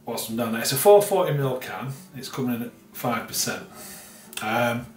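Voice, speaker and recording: A man's voice: medium pitch, lively intonation, moderate pace. A middle-aged man casually reviewing a beer at home in his kitchen, rambling with many fillers and repeated words. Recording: roomy, slightly distant sound with faint music.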